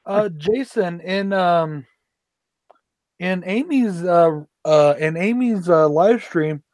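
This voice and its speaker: High-voiced man chatting with friends. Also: lively intonation, a little mellow, no echo. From Denver. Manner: talkative, interrupts with quick questions. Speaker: host. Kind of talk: casual group chat.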